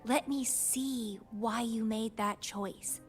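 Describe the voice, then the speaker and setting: An actress playing a possessive, teasing young woman fighter in a fantasy video game, with deliberate, dramatic delivery. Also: a little high, steady tone, faint music behind. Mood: wistful. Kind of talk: theatrical video game voice acting.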